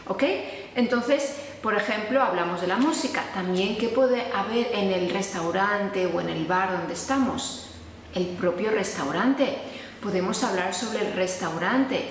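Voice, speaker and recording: A woman's voice: mid-range voice, a little hiss or hum. A woman from Spain with a Spanish accent, teaching a casual online lesson and acting out sample dialogues. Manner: explanatory, acts out sample dialogues. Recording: echoey room with long reverb.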